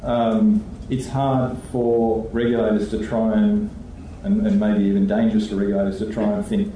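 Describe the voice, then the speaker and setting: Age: adult. Sex: man. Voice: deep-toned, dark. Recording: echoey hall from far off. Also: flat delivery, a little hiss or hum. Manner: measured, thinking aloud with restarts. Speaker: financial regulator on a panel. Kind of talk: panel discussion answer. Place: conference hall.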